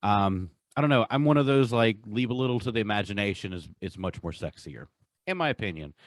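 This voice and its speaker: Man in his forties, slightly deep voice, dry recording, casual, enthusiastic, halting podcast talk. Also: expressive, no room sound. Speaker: podcast host.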